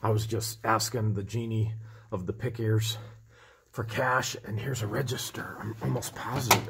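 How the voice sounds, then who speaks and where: Middle-aged guy, slightly low voice, eager running commentary, handheld camera in a big indoor warehouse. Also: creaky, a bit bright, a little hiss or hum. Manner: enthusiastic, exclaims often, thinks aloud. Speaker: vlogger picking for resale. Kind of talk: excited running commentary while exploring. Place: large cluttered indoor warehouse.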